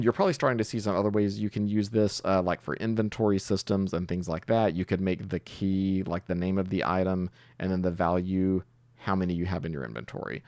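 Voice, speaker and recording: Very deep, gravelly-voiced man teaching. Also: darkish tone, dry recording. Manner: thinking aloud, hesitant pauses. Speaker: tutorial host, programming instructor. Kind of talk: casual screen-share coding tutorial, improvised.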